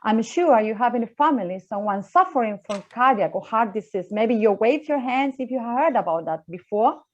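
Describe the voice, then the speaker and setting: Woman researcher, halting English. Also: fairly high pitch, animated, lo-fi sound. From Argentina. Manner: halting, with fillers and small slips. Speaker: researcher presenting to schoolchildren. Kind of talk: simple explanatory school talk.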